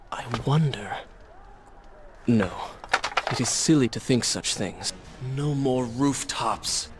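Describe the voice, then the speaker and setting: A man's voice: fairly high pitch, a bit bright, full character voice. A man playing a young, brooding and determined prince in a video game cutscene. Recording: clear background noise under dialogue.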